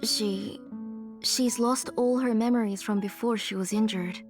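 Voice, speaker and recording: Woman as a higher-voiced, sorrowful game mother. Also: fairly even intonation, measured pace, faint music behind, lightly acted. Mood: grieving, resigned. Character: sorrowful, gentle, devoted mother and retired craftswoman. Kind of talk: dramatic video game voice acting.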